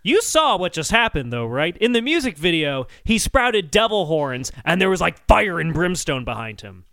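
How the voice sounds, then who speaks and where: A man with a high, bright voice co-hosts a comedy podcast. He argues in a mock-serious, emphatic way, with very lively pitch movement. The sound is dry and close. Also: moderate pace, gravelly, no room sound. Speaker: podcast co-host. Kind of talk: comic song-critique banter.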